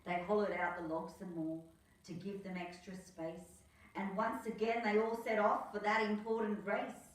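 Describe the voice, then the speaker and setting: A woman's voice: medium pitch, level tone, moderate pace, recorded from a distance. An Australian woman reading a children's picture book aloud as a storyteller, with theatrical emphasis on the rhymes. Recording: echoey room, away from mic.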